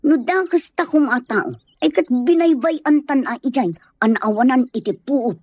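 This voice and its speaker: A woman playing a practical, caring rural elder sister in an audio drama, gentle and matter-of-fact. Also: sits high, animated, moderate pace, slightly rough, no room sound, studio quality. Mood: concerned. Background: faint music.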